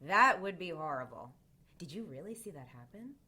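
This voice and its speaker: A woman playing a prickly, defiant witch in her twenties in an audio drama, curt, sarcastic and measured. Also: fairly low pitch, sing-song, bright, forceful tone, breathy edge, full character voice. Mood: cool, dry. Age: 20s.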